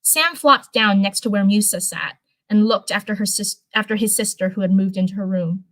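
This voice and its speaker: A woman's voice: medium pitch, moderate pace, fairly bright tone. A woman reading fan fiction aloud on a live stream, stumbling over and repeating phrases and chatting casually in between. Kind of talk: live storytelling read-aloud with casual chat.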